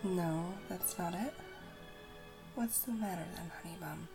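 A woman whispering softly and tenderly in an intimate audio roleplay, coaxing and gentle, with music behind her. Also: fairly low pitch, measured pace.

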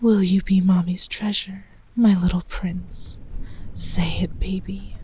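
A woman voicing a doting, possessive motherly female dragon in an intimate audio roleplay, speaking in a soft breathy whisper. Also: medium pitch, little pitch movement, takes it slowly, a little hiss or hum, close to an everyday voice. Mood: tender whisper.

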